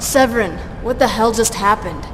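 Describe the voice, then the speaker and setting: An actress playing a brash half-vampire huntress in a video game, sarcastic, blunt and tough. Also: female voice, fairly high pitch, normal speed, background noise, natural voice. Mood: sardonic. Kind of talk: video game action heroine acting.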